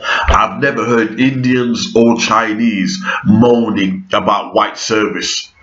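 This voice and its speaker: A man with a deep, bassy, harsh voice, talking to camera at home in a hesitant monologue full of ums that grows heated. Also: steady tone, fairly bright tone. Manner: slow and hesitant with frequent ums, rising into heated ranting. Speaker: vlogger. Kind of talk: unscripted opinion monologue. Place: at home with pet rabbits nearby. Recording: echoey room, dull lo-fi sound.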